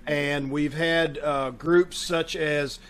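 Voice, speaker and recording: Higher-voiced man hosting a casual welcome. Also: steady tone, slow pace, fairly bright tone. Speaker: host, leader of a community theater troupe. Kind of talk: casual welcome talk to an audience. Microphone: video call. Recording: video call.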